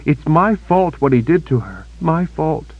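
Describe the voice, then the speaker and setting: A man's voice: a little high, big pitch swings, measured pace, phone-quality sound, pitched above the performer's own voice, exaggerated voice. A male narrator voicing a wounded, desperate young woman who pleads with breathless panic. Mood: tearful.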